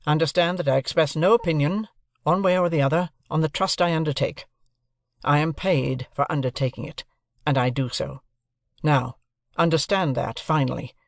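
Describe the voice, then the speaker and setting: A man's voice: fairly high pitch, normal speed, gravelly, no echo, clean studio sound. A man voicing a domineering London lawyer in an audiobook, curt, formal and insistent, giving orders as if no reply is allowed. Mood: brisk, peremptory. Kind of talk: audiobook character dialogue.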